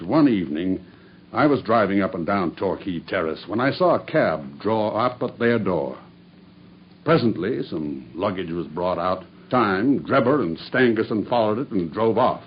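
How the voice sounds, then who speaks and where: An actor playing a grim, dying male cab driver who makes a steady, grave confession in an old radio drama. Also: sits low, slight background noise. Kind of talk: 1950s BBC radio drama acting. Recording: dull old radio broadcast.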